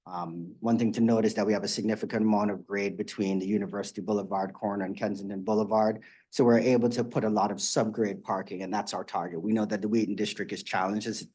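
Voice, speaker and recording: A man with a fairly low voice presents a development proposal at a public community meeting. He explains in a steady, plain way, often pausing on fillers as he clicks through slides. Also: slightly rough. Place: meeting room.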